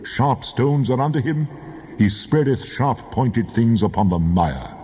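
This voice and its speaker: An actor playing a mighty, wrathful God in a dramatized scripture reading, solemn and declamatory, with a husky voice. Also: male voice, medium pitch, animated, mellow. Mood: grand, awe-inspiring. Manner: solemn, declamatory, grandly measured. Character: God, mighty, majestic, wrathful male deity. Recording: muffled, long cavernous reverb.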